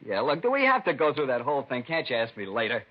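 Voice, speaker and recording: An actor playing a sick, irritable drug addict under police questioning in a 1950s radio drama, whining and pleading. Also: male voice, mid-range voice, wildly swooping pitch, fast talker. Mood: irritated. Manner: whining, pleading, grudging short answers. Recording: lo-fi old radio recording, faint noise.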